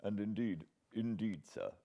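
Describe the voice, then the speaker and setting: A man playing a bereaved young English gentleman in an audiobook, courteous, earnest and full of feeling. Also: on the low side, a little slow, creaky, breathy edge, exaggerated voice. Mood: warm, trusting. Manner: earnest, polite, emotional.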